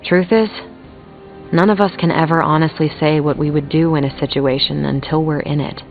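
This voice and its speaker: A woman's voice: low voice, steady tone, moderate pace. A woman playing a frank, sardonic superheroine in a video game, with blunt, colloquial delivery. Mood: candid. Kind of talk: video game character dialogue. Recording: thin phone-like sound, music behind.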